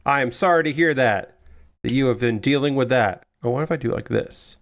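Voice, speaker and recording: A man streaming live from a small room at home, chatting casually and rambling while he builds, with a loud booming voice. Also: brisk. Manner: rambling, chatty, restarts often.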